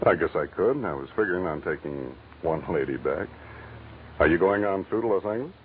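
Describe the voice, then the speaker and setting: World-weary kindly private eye, very low voice, laconic, 1940s radio drama, muffled noisy recording. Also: male voice, expressive, brisk. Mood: kindly, low-key. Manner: laconic, casual, hesitant.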